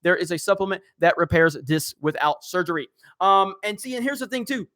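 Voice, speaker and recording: A man's voice: high-pitched, expressive, fairly quick, pushed and bright. A man hosting a live stream, reading comments in a rambling, casual way with lots of fillers and chuckles.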